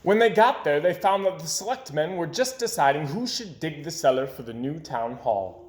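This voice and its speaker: A man reading a children's story aloud expressively, with dramatic delivery that drops to hushed phrase endings. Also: fairly high pitch, animated, moderate pace. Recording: echoey room.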